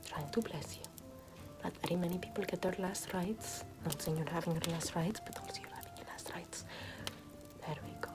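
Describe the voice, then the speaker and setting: A woman playing a callous, morbid medieval nun in ASMR roleplay, murmuring in a soothing, repetitive deadpan. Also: fairly low pitch, brisk, natural voice. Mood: deadpan insistent. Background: music.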